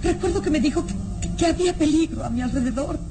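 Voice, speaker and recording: A woman's voice: sits high, quick pace, lightly acted. An actress playing an anxious, fragile young woman in a melodramatic radio serial, with hesitant, broken phrasing. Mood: anxious, sorrowful. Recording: radio drama over loud music.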